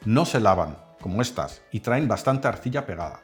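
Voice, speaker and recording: A man from the Netherlands, hosting a cooking channel and calmly explaining a recipe step by step. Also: on the low side, moderate pace, soft background music. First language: Dutch. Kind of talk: cooking tutorial voice-over.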